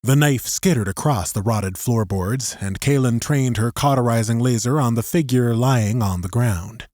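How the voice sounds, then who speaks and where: A man's voice: mid-range voice, moderate pace, a little mellow, no room sound, clean studio sound. A man reading scripted fiction as an audiobook narrator, in a dramatic storytelling style.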